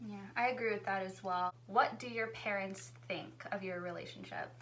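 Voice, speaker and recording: A chatty woman hosting a relaxed couple vlog, her pitch sitting in an ordinary middle range. She talks in an easygoing, conversational way. A faint hum sits under her voice. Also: normal speed. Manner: chatty, easygoing, laughs often. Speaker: vlogger hosting a couple tag video with her fiancé. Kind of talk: casual chat.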